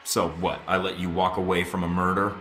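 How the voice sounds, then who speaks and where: An actor playing a tough, defiant young spy in a video game, speaking in a terse, sardonic way. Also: male voice, low voice, flat delivery, brisk, a bit bright. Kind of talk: video game cutscene acting. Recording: roomy echo, music behind.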